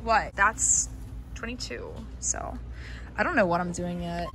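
A young woman chatting bubbly and fast to her handheld camera while shopping in a mall and candy store. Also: on the low side, expressive, sharp tone. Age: young adult. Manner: bubbly, chatty, quick gasps and laughs. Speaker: vlogger. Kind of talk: casual shopping vlog. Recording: noisy mall ambience.

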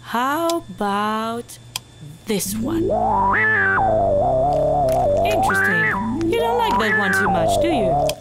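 A woman's voice: fairly high pitch, big pitch swings, slow, long pauses. An actress playing a female scientist who coaxes her frogs in a sing-song way. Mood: coaxing. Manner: coaxing, sing-song, talking to animals. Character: female scientist, coaxing then alarmed. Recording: loud music behind.

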